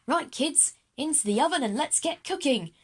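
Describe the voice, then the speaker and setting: A woman's voice: high-pitched, lively intonation, normal speed, voice pushed up. A woman voicing a kindly, encouraging female cookery teacher in a children's audio drama.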